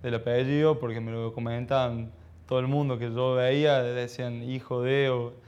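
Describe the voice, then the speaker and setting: A young Argentine man of around twenty answers interview questions in a smooth, clean voice. His intonation stays fairly flat, and he speaks modestly and haltingly, like a young athlete unused to talking about himself. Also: medium pitch, dull audio. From Argentina, childhood in Ecuador. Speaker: professional footballer being interviewed.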